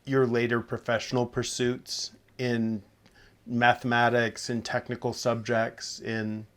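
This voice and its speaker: A man's voice: medium pitch, completely flat delivery, unhurried. A man asking oral history questions as an interviewer, phrasing them carefully and tentatively.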